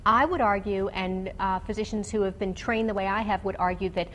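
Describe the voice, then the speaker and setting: A woman doctor giving explanatory, technical medical advice to callers on a radio call-in show, with hesitant pauses. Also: mid-range voice, fairly bright tone, muffled recording. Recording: clearly noisy background.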